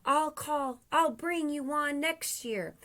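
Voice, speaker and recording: A woman's voice: high voice, slow pace, fairly bright tone, voice pushed up. A woman voicing a jolly, flustered old Santa Claus with an exclamatory storybook delivery. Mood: flustered, apologetic.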